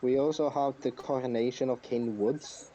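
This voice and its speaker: A man's voice: medium pitch, steady tone, normal speed, dark tone. A man co-hosting a casual wrestling review podcast, talking emphatically and swearing now and then. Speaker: podcast co-host. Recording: dull lo-fi mic, clear background noise.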